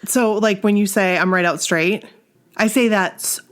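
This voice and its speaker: A woman's voice: mid-range voice, steady tone, moderate pace, a bit bright. Woman from Maine with a sore throat. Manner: chatty, rambling, self-deprecating. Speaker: podcast co-host. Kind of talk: casual chat.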